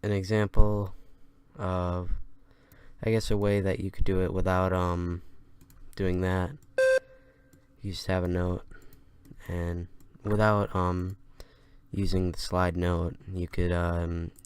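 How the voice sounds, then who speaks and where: A man giving a casual, unscripted software tutorial in a hesitant, halting way. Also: deep voice, flat delivery, clean tone. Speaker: tutorial host.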